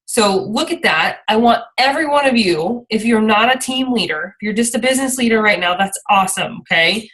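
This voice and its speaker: A woman's voice: a little high, animated, brisk, hardly any pauses, fairly bright tone, rough recording. A woman leading an informal motivational team training call, rambling a little and often checking in with her listeners as she encourages them. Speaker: team leader and coach hosting a call.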